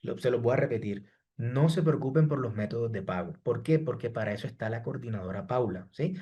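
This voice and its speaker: A man's voice: medium pitch, brisk, husky, muffled recording. A man teaching a live online class, answering students from the chat by name and ending nearly every point with "¿sí?" and "estimados". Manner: repeats "¿sí?" and "estimados" after each point. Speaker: online course instructor.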